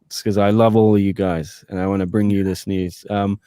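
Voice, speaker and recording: A man's voice: fairly low pitch, flat delivery, a little fast, mellow, smooth, no echo, clean studio sound. A man co-hosting a post-fight podcast as a sports reporter, chatting casually with jokey asides to listeners.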